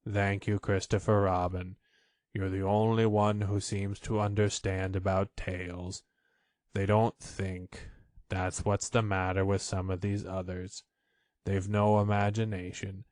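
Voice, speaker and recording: A man voicing a gloomy, long-suffering old donkey in a children's storybook, grumbling in a resigned, deadpan way. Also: low-pitched, measured pace, no room sound, clean studio sound, voice pushed down. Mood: mournful, deadpan.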